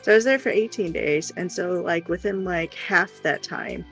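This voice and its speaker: An animated speaker with a creaky, mid-pitched voice tells personal stories in a casual chat. Quoted remarks get acted out, and faint music plays behind. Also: moderate pace, rough recording. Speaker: live stream host.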